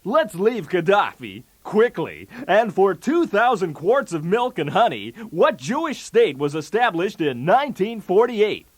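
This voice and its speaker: A man's voice: high-pitched, very animated, a little slow, few pauses, exaggerated voice. A man playing a brash, wisecracking 1980s TV game show host with showy, punchy patter. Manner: showy, jokey, with punchy delivery of gags.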